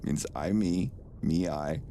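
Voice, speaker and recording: A man streaming live in casual chat, relaxed, often stammering and repeating words and swearing now and then. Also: deep voice, takes it slowly, slight background noise. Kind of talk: casual live-stream chat while doing language lessons.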